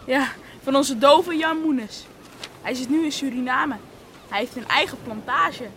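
An actress playing a gently teasing, encouraging confidante in a Dutch radio drama. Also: female voice, high voice, a little slow, slight background noise.

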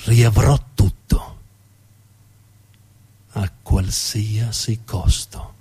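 A man's voice: lowish voice, steady tone, very slow, dark tone, husky, pitched below the performer's own voice, full character voice. A man playing an arrogant, cynical businessman scarred by war, in a dramatic, commanding stage monologue. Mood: menacing resolve.

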